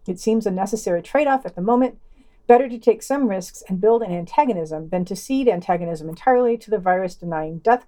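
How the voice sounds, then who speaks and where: A woman's voice: medium pitch, dark tone. Sardonic woman reading scripted commentary. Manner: sardonic, dry asides. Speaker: podcast co-host reading news. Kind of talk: scripted news digest with wry commentary.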